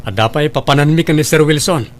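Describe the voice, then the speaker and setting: Casting: man to play young caring working brother, higher-set Ilocano-accented voice, radio drama. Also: quick pace, close to an everyday voice. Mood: reassuring. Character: caring, hardworking older brother, a driver.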